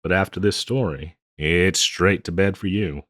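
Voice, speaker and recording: Guy doing an old west bartender, real low voice, folksy n teasing, rambles on, close dry roleplay audio. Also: moderate pace, fairly bright tone, no echo. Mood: teasing, kindly. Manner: folksy, rambling, chuckling drawl with hesitations. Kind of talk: intimate scripted roleplay audio, addressed to listener.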